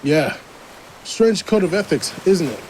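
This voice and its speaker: A man's voice: a little high, expressive, a little slow, a little mellow, rough recording. A man acting in an audio drama as a matter-of-fact seafarer with a dry sense of irony. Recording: light wave noise behind.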